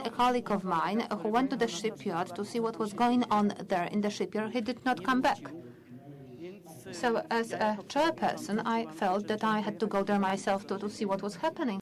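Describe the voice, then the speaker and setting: A woman's voice: medium pitch, normal speed, crowd noise. Older woman, conference speaker, hesitant testimony with many restarts, hall audience audible. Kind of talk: personal testimony speech.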